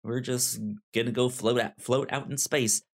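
A man's voice: mid-range voice, fairly even intonation, moderate pace. A man co-hosting a casual book discussion podcast, thinking aloud and often repeating words as he goes. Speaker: podcast co-host reviewing manga.

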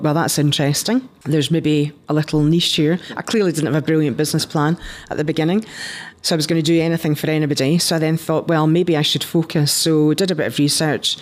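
Scottish woman in her fifties. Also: low voice. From Scotland. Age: 50s. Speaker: interview guest, business founder. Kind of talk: conversational career storytelling.

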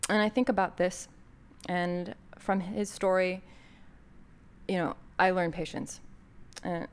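An American woman hosting a podcast, introducing an episode in a thoughtful, conversational way with frequent fillers. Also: lowish voice. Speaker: podcast host.

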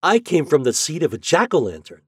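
Man voicing a breezy, raised-pitch talking pumpkin plant. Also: very animated, fairly quick, a little mellow, slightly rough, dry recording, clean studio sound. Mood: matter-of-fact. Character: plain-spoken, carefree pumpkin vines and young pumpkins.

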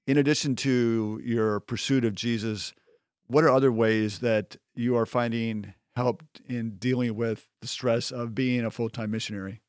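A man hosting a podcast, asking friendly, measured questions. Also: mid-range voice, no room sound, studio quality. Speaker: podcast host. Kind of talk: podcast interview.

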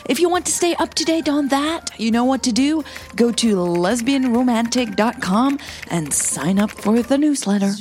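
A woman podcast host delivering a scripted narration in a measured, dramatic storytelling manner. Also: medium pitch, a little mellow.

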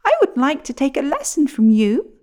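A woman's voice: sing-song, normal speed, warm tone. A woman voicing a small, polite mother sparrow in a storybook reading. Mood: fretful. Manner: high, fretful and polite.

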